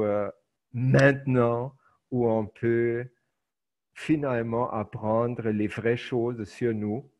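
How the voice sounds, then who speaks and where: A middle-aged man whose first language is German, giving an online lecture in a hesitant way with many filler pauses. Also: fairly low pitch, a little mellow. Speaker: teacher. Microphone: video call. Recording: muffled video call audio.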